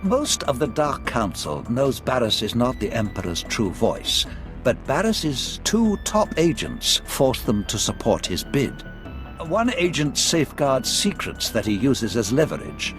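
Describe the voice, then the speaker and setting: An actor playing a genteel, scheming Sith lord in a video game, speaking in a formal, courtly and theatrical way. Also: male voice, medium pitch, very animated, measured pace, over music. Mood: conspiratorial. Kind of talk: video game cutscene acting.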